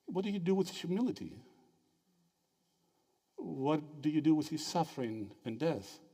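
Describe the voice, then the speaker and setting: A man from Greece giving a lively, interactive theology lecture and quizzing his audience with quick questions. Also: on the high side, husky. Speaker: theology speaker at a symposium. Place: lecture hall. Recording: reverberant hall.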